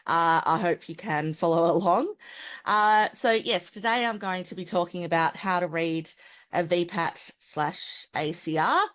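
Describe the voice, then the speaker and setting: A woman's voice: fairly low pitch, measured pace, a bit bright, low-quality recording. A middle-aged woman with an Australian accent, giving a webinar presentation over a video call. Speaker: presenter, accessibility expert.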